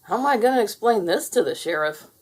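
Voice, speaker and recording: A folksy small-town deputy, played by a woman reading aloud, blusters in bafflement. The voice is lifted and animated, in picture-book style. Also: mid-range voice, fairly quick, breathy edge, pitched above the performer's own voice. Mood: baffled.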